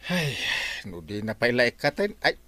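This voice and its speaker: An actor with an Ilocano Filipino accent playing a blustering, scolding village captain in a radio comedy drama, full of exclamations. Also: male voice, mid-range voice, very animated, moderate pace, sharp tone. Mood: grumbling.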